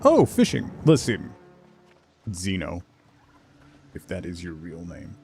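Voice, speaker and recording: A man's voice: sits low, wildly swooping pitch, slow pace, a little mellow, faint music behind. A man doing a casual, rambling livestream over a video game, talking conversationally with viewers. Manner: rambling, conversational, reads chat and game text aloud. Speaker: game streamer, host.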